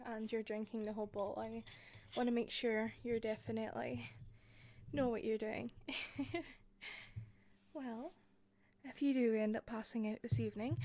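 A woman with a softened Scottish accent playing an attentive hostess in a roleplay, speaking softly into a close mic with frequent giggles and whispers. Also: on the high side. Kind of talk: listener-directed roleplay.

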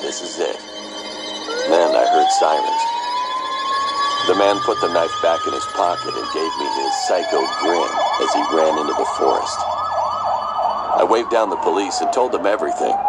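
A man narrating a first-person horror story in a measured, scripted way. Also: low-pitched, wildly swooping pitch, very noisy. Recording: narration under loud music, played back from a video.

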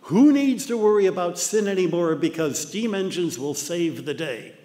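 A man's voice: high-pitched, normal speed. Older American man lecturing in a reverberant hall. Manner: animated storytelling with mock voices. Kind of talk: illustrated talk. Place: dark lecture hall with projector screen.

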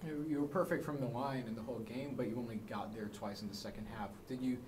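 A man's voice: mid-range voice, steady tone, quick pace. A man working as a sports reporter, asking a question at a press conference. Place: press room indoors, off camera. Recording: very noisy room recording.

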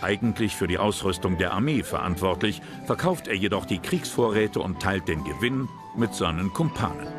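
A man's voice: sits low, lively intonation, normal speed, few pauses. A man reading scripted documentary narration as the narrator. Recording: music behind the voice. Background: music.

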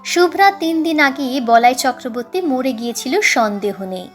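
A high-pitched woman reads a literary tale aloud. Her delivery is measured and dramatic, like an audiobook storyteller, with music behind her. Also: lively intonation. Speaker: audiobook narrator. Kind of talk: dramatic scripted story narration.

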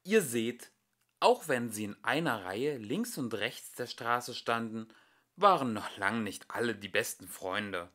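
A young man narrating a children's audiobook in an expressive, theatrical way, addressing his listeners directly. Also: medium pitch, a little slow. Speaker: amateur audiobook reader.

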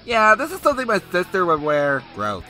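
A woman's voice: lowish voice, huge pitch swings, brisk, bright, forceful tone, faint music behind, exaggerated voice. A woman playing a blunt, sarcastic, exasperated teenage girl in an amateur comedy machinima.

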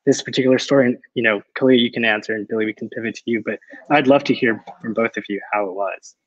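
A man's voice: mid-range voice, steady tone, fast talker, husky. Young man moderating over dull call audio. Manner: appreciative, many fillers. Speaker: interviewer, event moderator. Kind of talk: moderating a book discussion. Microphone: video call.

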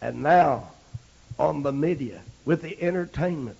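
Gravelly American preacher, high-pitched man. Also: animated, measured pace. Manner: swings between low folksy storytelling and loud shouted exhortation; punctuates phrases with amen. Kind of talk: Pentecostal holiness sermon. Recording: dull, lo-fi archival sermon recording.

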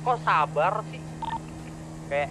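Young male gamer, high voice, urgent repeated callouts, noisy game audio with vehicles. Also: animated, takes it slowly. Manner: urgent, repeats short commands. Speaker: gamer leading a crew in online roleplay. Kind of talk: casual in-game team callouts. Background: game vehicle noise.

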